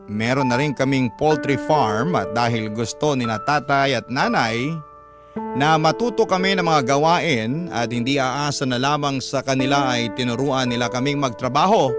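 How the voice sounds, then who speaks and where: A man narrating a listener's letter as a scripted story on a Filipino radio drama program. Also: mid-range voice. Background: instrumental background music.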